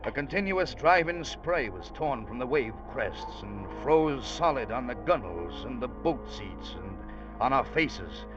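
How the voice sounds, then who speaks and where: Casting: earnest ship's officer and storyteller, mid-pitched, vintage radio drama, muffled recording with prominent music. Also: male voice, big pitch swings, measured pace. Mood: grave, dramatic. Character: earnest ship's officer recounting a harrowing sea rescue. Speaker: first-person narrator.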